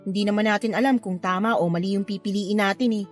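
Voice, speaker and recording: An actress playing a heartbroken, stubborn younger sister in a radio drama, with a plaintive, emotive delivery. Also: female voice, medium pitch, fairly even intonation, fast talker, faint music behind, close to an everyday voice. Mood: doubtful. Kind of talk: Filipino radio drama acting.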